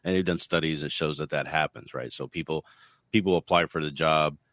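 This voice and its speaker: A man with a very deep voice explains his points in a measured way during a casual podcast discussion. The recording sounds dry and close. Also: fairly bright tone, dry recording.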